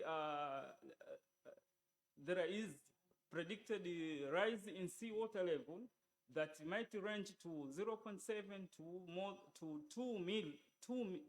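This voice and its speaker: A man giving a formal slide presentation as a student, in a halting, hesitant way with broken phrases. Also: high-pitched.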